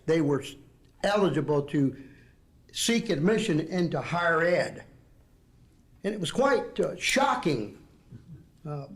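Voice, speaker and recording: A man in his sixties with a Southeast Texas accent, giving an earnest, rambling speech to a group at a dinner, with many fillers and restarts. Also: fairly high pitch. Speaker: speaker at an education program dinner.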